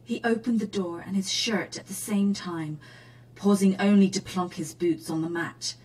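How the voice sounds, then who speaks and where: An actress playing an awkward, flustered romance novelist, nervous and earnest, with a husky voice, in a radio drama. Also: female voice, medium pitch, steady tone, moderate pace, a little mellow, natural voice, low-quality recording. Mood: earnest, breathy reading. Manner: nervous, self-correcting, earnest.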